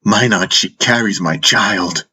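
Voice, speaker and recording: A man's voice: sits low, slow pace, sharp tone, husky, heavily acted. A man voicing an anguished young warrior who pleads desperately, in an audiobook drama over music. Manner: wailing, impassioned pleading.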